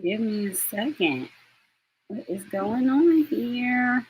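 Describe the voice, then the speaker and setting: A middle-aged woman hosting a live online stream, chatty and affectionate, with quick asides. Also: fairly high pitch, animated, a little mellow, a little hiss or hum, low-quality recording. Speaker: host and soul mentor.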